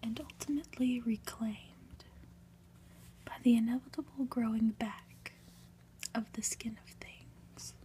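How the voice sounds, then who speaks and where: A woman whispering softly and breathily in an ASMR style, gentle and unhurried, as a reader and host. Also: fairly high pitch, steady tone. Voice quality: breathy, mostly unvoiced whisper. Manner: soft, unhurried, gentle delivery. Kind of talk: whispered ASMR, storybook reading and soft chat.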